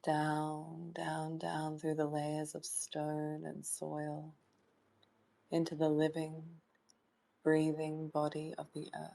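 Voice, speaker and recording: Low, very slow woman guiding meditation. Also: completely flat delivery, creaky, mellow. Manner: slow, soothing delivery with long pauses and short phrases. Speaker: meditation guide. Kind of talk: guided meditation, hypnotic trance induction.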